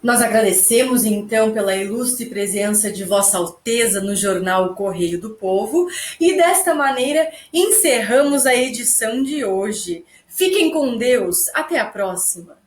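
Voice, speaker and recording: A woman's voice: a little high, measured pace, lightly acted. A woman playing a formal newspaper interviewer who asks deferential questions. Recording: echoey room.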